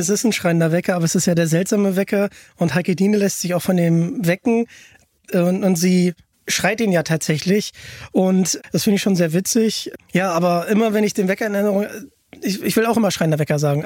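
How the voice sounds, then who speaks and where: A man's voice: sits high, a little fast, darkish tone, no room sound, studio quality. A man hosting a casual fan podcast, chatting in an enthusiastic way. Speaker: podcast host.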